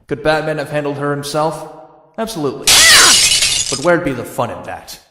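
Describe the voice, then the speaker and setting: A man's voice: fairly high pitch, lively intonation, normal speed, echoey room, in a large hall, heavy background noise, close to an everyday voice. A man playing a wry, brash young antihero who narrates his own story in a motion comic, sardonic and conversational. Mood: wry narration. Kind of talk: first-person scripted narration in motion comic.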